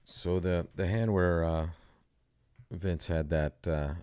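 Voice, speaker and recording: A man's voice: deep voice, steady tone, slow pace, warm tone, clear, resonant tone, no room sound. A man hosting casual live bridge commentary, chatty and joking at his own expense. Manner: joking, chatty, self-deprecating.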